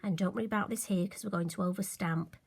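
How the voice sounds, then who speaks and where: A friendly woman talks her viewers through a card-making demonstration in a casual, self-deprecating way. Her voice is slightly hoarse and occasionally croaky, sitting at an ordinary middle pitch. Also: steady tone, fast talker. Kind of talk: casual crafting tutorial.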